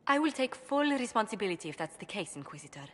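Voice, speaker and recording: A woman's voice: medium pitch, very animated, fast. Earnest noblewoman in an echoing hall. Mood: grave, determined. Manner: formal, earnest, polished. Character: proud, anxious noblewoman diplomat, dutiful to family. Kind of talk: dramatic video game acting.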